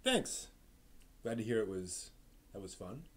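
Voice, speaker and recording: A man's voice: on the low side, very animated, measured pace, a bit bright. A man hosting a live stream, chatting casually and off the cuff in a rambling way that trails off. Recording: noisy room background.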